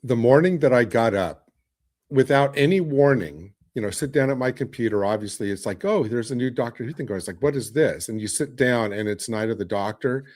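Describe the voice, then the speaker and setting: A man from the United States with an American accent, chatting casually as a podcast panelist in a halting, emphatic way. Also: mid-range voice, animated, a little mellow. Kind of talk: casual chat, personal recollection.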